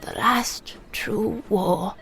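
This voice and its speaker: Frail, dying old woman, played by an actress, reflective and hushed, unhurried pace, gravelly edge, for intimate radio play. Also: medium pitch, full character voice. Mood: hushed, reminiscing. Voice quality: breathy, whispery, creaky. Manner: slow, halting, reflective storytelling. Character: frail elderly dying mother recalling wartime childhood. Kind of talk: intimate audio drama monologue.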